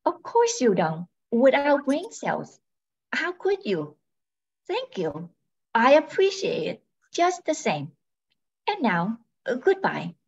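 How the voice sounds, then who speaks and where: A woman playing a polite robot wife in a radio play, speaking in a measured, matter-of-fact, coolly apologetic way. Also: high-pitched, wildly swooping pitch, vocal fry, a little mellow, husky, muffled recording, heavily acted. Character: polite robot wife draining brain cells to become human.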